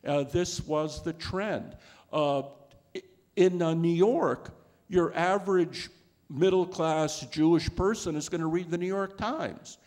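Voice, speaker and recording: A man in his sixties answers questions on a lecture hall stage, speaking into a handheld mic. His voice sits a little high for a man. He talks thoughtfully, circling back with many restarts and "uh"s. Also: takes it slowly, a little mellow. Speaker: panelist. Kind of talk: scholarly panel talk.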